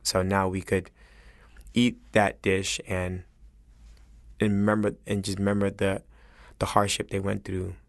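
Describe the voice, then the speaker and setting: A man from Senegal with a West African accent speaks earnestly and reflectively in an interview, halting as he searches for his words. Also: low-pitched, level tone, dry recording, studio quality. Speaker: chef interviewed as guest.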